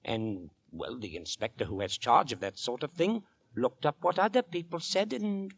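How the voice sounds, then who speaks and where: An actor playing a chatty, earnest young working-class London policeman in a 1940s radio drama, with a folksy, deliberate way of telling things. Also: mid-range voice, lively intonation, gravelly, no echo. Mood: confiding. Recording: vintage 1940s radio recording.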